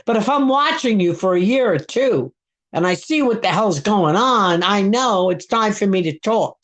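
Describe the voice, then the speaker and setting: A woman's voice: medium pitch, expressive, moderate pace. A woman in her seventies from Brooklyn with a Brooklyn accent, talking bluntly and heatedly as a guest on a live stream. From Brooklyn, New York. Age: 70s. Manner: blunt, heated, repeats herself. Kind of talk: casual chat.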